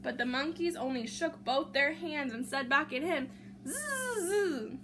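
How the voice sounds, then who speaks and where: A woman reading a children's storybook aloud in an expressive, teacherly way, with simple chatty asides. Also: high-pitched, moderate pace. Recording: clear background noise.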